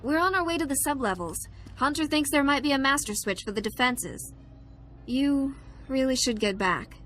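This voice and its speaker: An actress playing a wary young operative in a video game. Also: female voice, high-pitched, animated, moderate pace, fairly bright tone, faint music behind.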